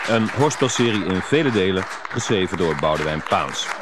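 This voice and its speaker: A man's voice: sits low, lively intonation, moderate pace. A man giving a scripted closing announcement and reading out credits as the announcer. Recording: loud crowd and room noise.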